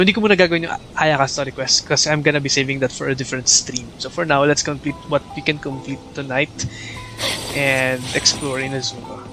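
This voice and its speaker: A man's voice: on the high side, sharp tone. A man with a Filipino accent chatting casually as a game live streamer, switching between two languages, with music behind. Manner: chatty, greets viewers by name. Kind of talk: casual live stream chat mixing English and Tagalog.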